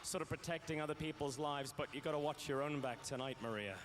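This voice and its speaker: A man hosting a game show in an arena, shouting upbeat introductions to the crowd. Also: fairly high pitch, quick pace. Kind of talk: loud arena presenting. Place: indoor arena. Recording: noisy arena.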